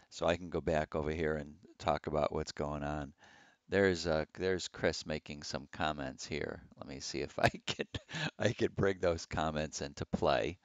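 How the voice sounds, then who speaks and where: A man's voice: sits low, creaky, clear, resonant tone, no echo, lo-fi sound. A man chatting casually on a livestream as a radio hobbyist host, rambling in a folksy, hesitant way.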